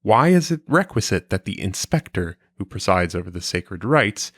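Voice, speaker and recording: A man reads a scripted philosophical text in a fairly low voice. His delivery is formal and measured, with clearly shaped phrases. The sound is very dry, with hardly any room around it. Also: sing-song, no echo. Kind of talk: scripted audiobook-style reading of an old philosophical text.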